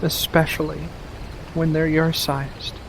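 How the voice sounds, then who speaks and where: A man voicing a playful, mischievous furry witch of the woods in a soft-spoken, teasing ASMR roleplay, full of gentle endearments. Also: a little high, flat delivery, slow, vocal fry, slight background noise, rough recording.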